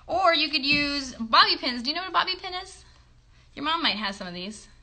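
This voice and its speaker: Female kids' show host, thirties, high-pitched and bright, casual and encouraging, phone livestream from home. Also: animated, a little fast. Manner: encouraging, questioning, talking to young viewers. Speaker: children's puppet show host. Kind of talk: casual craft demonstration for children. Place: bedroom at home. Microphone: phone at about arm's length.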